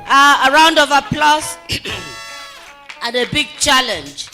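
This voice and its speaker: A woman's voice: sits high, slow pace, over loud music. A woman hosting an event as master of ceremonies, introducing the next speaker with fillers and restarts.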